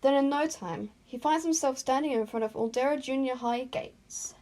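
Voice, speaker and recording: A woman's voice: sits high, moderate pace, darkish tone. A woman narrating fan fiction as an amateur, in an informal, self-conscious way with retakes and asides. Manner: informal, self-conscious, often stumbles and re-says lines.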